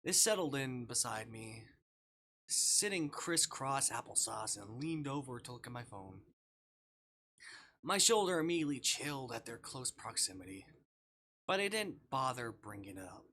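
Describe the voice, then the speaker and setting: A man with a bright, forward voice is live-streaming a visual novel. He reads aloud in a dramatic way and drops in playful asides. There is a little noise in the background. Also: medium pitch, very animated, normal speed.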